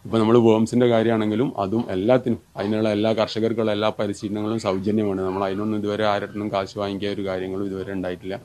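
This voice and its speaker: A man in his thirties being interviewed on his rooftop animal farm, speaking quickly and haltingly into a handheld news mic. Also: fairly low pitch, little pitch movement, a little mellow. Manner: fast, halting talk with fillers. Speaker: rat farmer interviewee. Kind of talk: on-camera interview.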